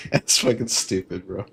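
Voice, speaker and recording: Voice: low voice, vocal fry, fairly bright tone, low-quality recording. A game streamer reacting casually to a game in a slangy, offhand, amused manner.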